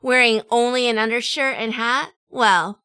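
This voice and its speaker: A woman's voice: on the high side, steady tone, normal speed, bright, forceful tone. A woman playing a wry, world-weary detective in a noir audio drama, with a dry, sardonic delivery. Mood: wry, narrating. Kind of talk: hardboiled noir radio drama narration and dialogue.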